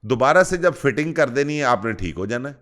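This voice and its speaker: A man's voice: mid-range voice, animated, fairly quick, a bit bright. A man with a Pakistani accent making a prank phone call, explaining things in an insistent, reassuring, matter-of-fact way. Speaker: prank caller.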